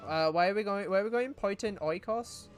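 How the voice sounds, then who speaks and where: A woman's voice: medium pitch, a little fast, faint music behind. A British woman streaming a game live, chatting casually, swearing and reacting to her chat. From United Kingdom.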